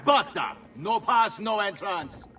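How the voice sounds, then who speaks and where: A man's voice: high voice, lively intonation, takes it slowly, sharp tone, soft background music, heavily acted. A man playing an officious Venetian boat guard with an Italian accent in a video game. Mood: officious, stern.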